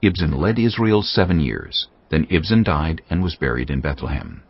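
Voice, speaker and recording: A man with an extremely low, husky voice narrates scripture. His scripted storytelling has wide, dramatic intonation, and the recording is a little dull. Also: lively intonation, normal speed, dry recording.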